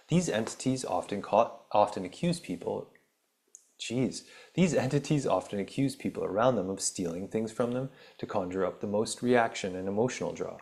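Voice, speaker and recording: A man hosts his own healing podcast, his voice sitting fairly low. His voice has gone raspy from reading aloud. He stumbles over words as he reads, then drifts into loose, rambling chat padded with ums and uhs. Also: expressive, normal speed, darkish tone. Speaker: podcast host. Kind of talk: reading an article aloud with casual asides.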